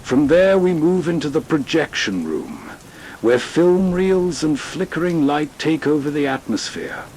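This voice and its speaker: Slow, measured older man hosting. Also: a little high, lively intonation, darkish tone, slight background noise, rough recording. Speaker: host. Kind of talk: scripted introduction.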